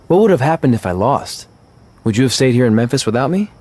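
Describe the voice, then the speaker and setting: A man's voice: medium pitch, big pitch swings, fast talker, a little mellow. A man playing an earnest, good-natured young indie wrestler in a video game cutscene, sincere and a little sheepish. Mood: upbeat.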